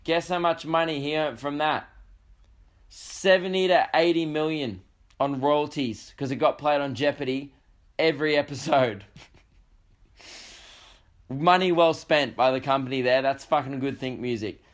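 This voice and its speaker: Australian male podcast host, young, croaky, sweary comic rambler. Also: a little high, a little slow, long pauses. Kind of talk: casual comedic monologue.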